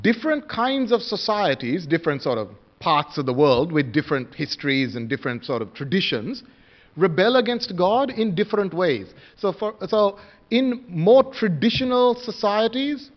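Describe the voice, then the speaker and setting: A man's voice: high-pitched, big pitch swings, moderate pace, dull audio. A man from Sri Lanka preaching a sermon, earnest and questioning, often restarting his phrases.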